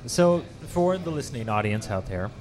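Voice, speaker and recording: A male radio host with an ordinary mid-range voice who keeps the talk loose and informal. He asks quick, curious follow-up questions and now and then lets slip a rough word. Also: medium pitch, very animated, darkish tone, poor audio. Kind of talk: casual radio interview. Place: public fair.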